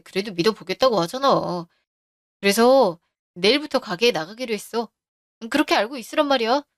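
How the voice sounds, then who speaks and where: A woman's voice: a little high, expressive, fairly quick, no echo, clean studio sound, close to an everyday voice. A woman voicing a scheming middle-aged husband who brushes off his wife's worries, in a radio drama. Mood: defensive.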